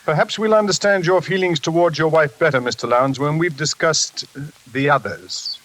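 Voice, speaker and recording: Man playing crisp, probing psychiatrist, bright voice. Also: a little high, natural voice. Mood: pointed, cool. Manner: measured, pointed, clipped. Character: probing, unruffled male psychiatrist. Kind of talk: 1940s radio acting. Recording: hissy old radio recording.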